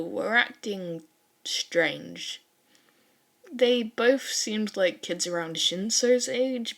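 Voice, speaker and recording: A woman with a mid-pitched voice narrates fan fiction like a homemade audiobook. Her reading is expressive and informal, with casual swearing.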